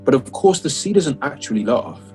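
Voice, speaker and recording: A man's voice: mid-range voice, very animated, moderate pace, poor audio. A man performing short poetic lines in an ensemble audio play. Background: loud guitar music.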